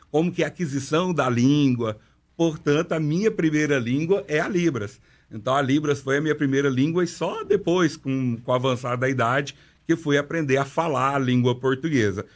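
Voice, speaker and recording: A man who teaches and interprets sign language, answering questions in a radio interview in a measured, explanatory way and often adding "né" as he talks. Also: on the high side. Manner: measured explanatory delivery with frequent "né". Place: radio studio.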